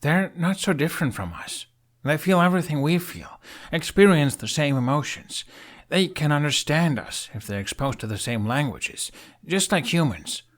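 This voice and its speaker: Man acting a young male dragon rider, wry, earnest, halting, raised pitch, audiobook fantasy character. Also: expressive, natural voice.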